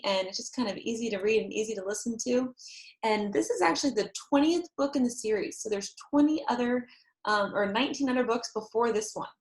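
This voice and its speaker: A woman teacher reading aloud to young children, explaining things in simple phrases. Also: fairly high pitch. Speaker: teacher reading a chapter book aloud to pupils. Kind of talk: read-aloud storytelling for children.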